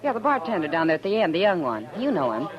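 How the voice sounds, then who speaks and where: A woman's voice: lowish voice, big pitch swings, fast talker. A woman playing a friendly, sociable saloon woman in a 1950s radio western, breezy and familiar in manner. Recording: dull old radio recording, crowd chatter.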